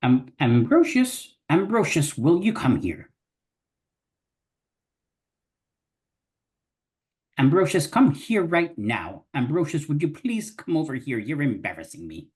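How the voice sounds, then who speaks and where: A man's voice: a little high, big pitch swings, moderate pace, few pauses, a little mellow. An actor in an online dramatic reading playing a brave, boastful little male fox knight who talks in old chivalrous speech. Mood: exasperated. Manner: archaic chivalrous speech, blustering. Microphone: video call.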